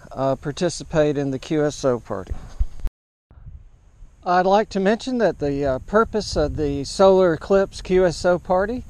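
A man narrating an outdoor setup vlog as an amateur radio operator, in a halting, matter-of-fact way. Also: fairly high pitch, a little mellow, clean tone. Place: large open grassy park field, outdoors.

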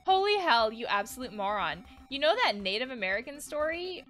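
A woman's voice: on the high side, big pitch swings, brisk, fairly bright tone, soft background music. Woman acting an exasperated, stressed young game character. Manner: exasperated, emphatic. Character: stressed, angry young human battling own anxiety. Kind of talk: game dialogue read aloud.

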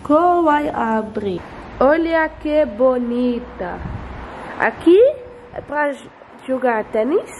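A woman's voice: high voice, lively intonation, slow pace, a little mellow, slight background noise, poor audio. A young Dutch-raised woman talks through a casual travel vlog in Portuguese, which is not her first language. She often stops to search for words and sometimes slips into English. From Netherlands. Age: young adult. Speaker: vlogger. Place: outdoors and indoors, apartment and streets.

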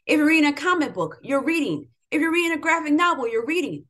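A middle-aged American woman with a slightly high voice speaks as an educator to students. She delivers a motivational talk, leaning firmly on key phrases. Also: lively intonation, quick pace, a bit bright. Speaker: educator, interviewer. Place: home room with bookshelves, indoors.

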